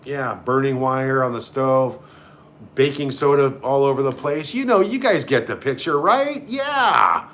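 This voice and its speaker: A man's voice: medium pitch, lively intonation, a little slow, fairly bright tone. A man hosting a casual live stream, reading out comments and reacting in a blunt, sarcastic, sweary way. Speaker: livestream host.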